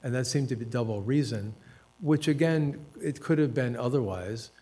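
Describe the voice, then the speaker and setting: Older man reflecting on his life, unhurried. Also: mid-range voice, dark tone. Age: 70s. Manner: unhurried, self-deprecating, with dry humor; hesitant fillers and restarts. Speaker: author and professor. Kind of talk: reflective personal storytelling at a panel talk.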